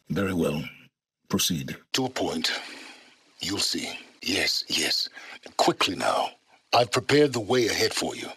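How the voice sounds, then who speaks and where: An actor playing an arrogant, aloof older male scholar of ancient technology in a video game, speaking in a measured, formal, condescending way. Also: sits low, fairly bright tone, slightly rough, no echo, exaggerated voice. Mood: clipped, impatient. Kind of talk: video game voice acting, dramatic dialogue.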